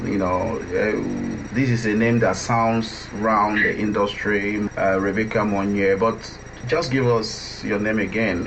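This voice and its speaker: A man's voice: fairly low pitch, vocal fry. A man hosting a radio show, interviewing a guest in a conversational way on a dull, noisy lo-fi connection.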